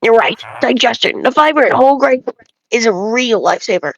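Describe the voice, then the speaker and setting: A woman's voice: fairly high pitch, expressive, fairly quick, muffled recording. A woman reading aloud as an opinionated, folksy male bread enthusiast who rambles and grumbles through game dialogue. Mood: opinionated, boastful.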